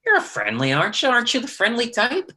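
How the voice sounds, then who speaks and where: Man acting a sharp, bright-voiced needling drifter. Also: very high voice, huge pitch swings, fast, heavily acted. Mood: needling, defiant. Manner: terse, needling, colloquial. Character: menacing, sly drifter brother, male, needling and streetwise. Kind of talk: play reading, stage drama dialogue.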